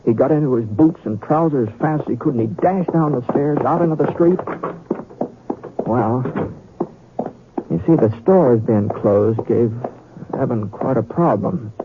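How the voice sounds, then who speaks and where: An actor playing a homespun western storyteller, narrating a radio drama in a halting, conversational way. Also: male voice, medium pitch, expressive, natural voice. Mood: folksy, wry. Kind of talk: old-time radio storytelling. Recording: tinny radio sound with crowd noise.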